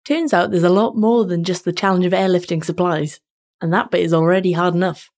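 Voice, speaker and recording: A woman hosting a podcast, reading scripted narration in a smooth, measured way. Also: fairly low pitch, darkish tone. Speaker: podcast host. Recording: music bed underneath.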